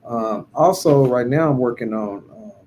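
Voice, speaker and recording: A man giving an informal religious talk as a guest speaker, with a humble, halting delivery. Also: mid-range voice. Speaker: guest speaker and self-published author. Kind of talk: informal public religious address and chat. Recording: steady background noise.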